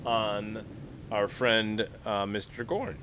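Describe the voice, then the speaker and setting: A man's voice: fairly low pitch, fairly even intonation, slow, a bit bright. A man chatting casually and playfully as a tabletop player, repeating words as he thinks. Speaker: tabletop role-playing player. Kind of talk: casual, playful game chat.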